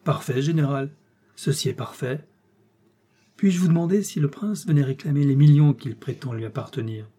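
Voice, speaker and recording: A man's voice: medium pitch, normal speed, mellow, lightly acted. A man voicing a composed, polite investigator who puts formal, probing questions in an audiobook. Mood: pointed, polite. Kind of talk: audiobook character dialogue.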